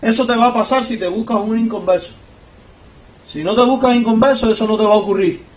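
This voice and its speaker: High-voiced Cuban pastor in an echoey church. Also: male voice, fast talker. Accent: Cuban Spanish. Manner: emphatic preaching, climbs in pitch and volume on key points. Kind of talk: sermon. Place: church sanctuary. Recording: echoey church hall, voice from far off.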